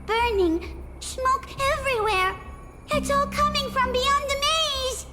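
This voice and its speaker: A woman playing a tiny, panicky, childlike fairy creature in a fantasy video game, in squeaky exclamations. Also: takes it slowly. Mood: alarmed. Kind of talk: English video game voice acting. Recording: loud music over the voice.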